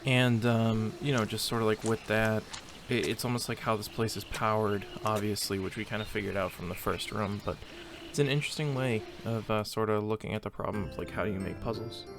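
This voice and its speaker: A man's voice: fairly low pitch, fairly even intonation, brisk, few pauses, music in the background. A man casually commentating a video game as he plays, thinking aloud and restarting his sentences. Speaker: gameplay commentator. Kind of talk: casual let's play commentary.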